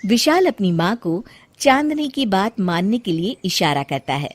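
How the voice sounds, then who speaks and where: A woman's voice: mid-range voice, very animated, unhurried, over music. A woman reading scripted narration for a comic story as its narrator.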